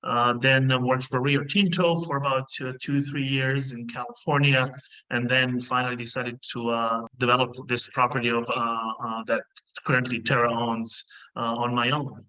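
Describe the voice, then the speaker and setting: Bright-voiced Bosnian-born executive, halting pace. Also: male voice, mid-range voice, level tone, low-quality recording. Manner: measured, many filler pauses. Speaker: mining company CEO, guest. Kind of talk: business interview over video call. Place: indoor room. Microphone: video call.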